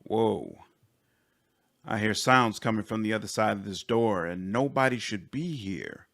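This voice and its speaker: A man's voice: lowish voice, measured pace, creaky. A man playing a flamboyant, flippant and easily flustered hero commander in a comic fantasy game. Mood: alarmed, squeamish. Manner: excitable, swooping, exasperated.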